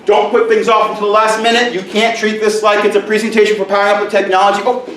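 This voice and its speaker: A middle-aged male teacher giving a conference presentation in a loud, booming voice with joking asides, recorded from far off in a large room. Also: flat delivery, fast talker, roomy sound, a little hiss or hum. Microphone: camera mic from far off.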